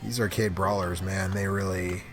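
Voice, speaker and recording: A man chatting casually as he plays a game, commenting in rambling, halting asides. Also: deep voice, flat delivery, music in the background. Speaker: host playing an arcade game. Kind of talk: casual gaming chat and commentary. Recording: clearly noisy room, clicking controls and keys.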